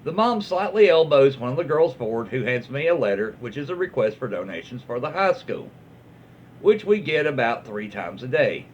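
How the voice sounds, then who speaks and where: A man reads internet stories aloud as a host, with a lively, swinging pitch. His delivery is folksy, with dramatic pauses and side comments. The sound is slightly dull and lo-fi, with faint noise. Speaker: online story reader and host. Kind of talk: animated reading of internet stories with asides.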